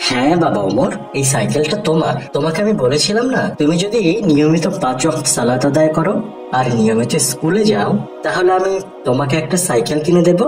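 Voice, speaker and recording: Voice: medium pitch, lively intonation, quick pace, roomy sound, music in the background, close to an everyday voice. A performer playing a kind, caring father in a cartoon, recorded a little far from the mic. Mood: warm, approving. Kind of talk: cartoon voice acting.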